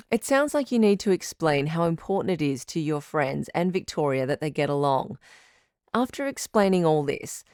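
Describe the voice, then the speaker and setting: A woman playing a supportive radio advice doctor and host in an audio drama, measured, articulate and reassuring. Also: fairly low pitch, darkish tone, dry recording, clean studio sound.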